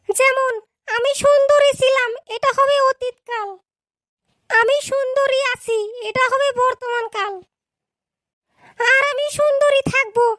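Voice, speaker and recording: A woman's voice: extremely high pitch, fairly bright tone, no room sound, studio quality. A woman voicing a strict schoolteacher in a cartoon, scolding and lecturing her class. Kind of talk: cartoon dub acting.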